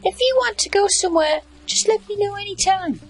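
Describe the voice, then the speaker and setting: A woman's voice: extremely high pitch, expressive, brisk, faint music behind, rough recording. A woman playing a sassy, teasing woman in a sci-fi noir video game. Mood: helpful. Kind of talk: scripted video game character dialogue.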